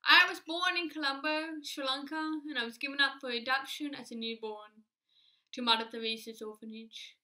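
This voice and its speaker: Young woman, twenties, steady and sincere to camera; sharp, bright tone, slightly raised pitch. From Australia. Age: 20s. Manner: earnest, measured, steady delivery. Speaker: alumni speaker. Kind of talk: prepared personal speech to camera. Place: small indoor room against a plain wall.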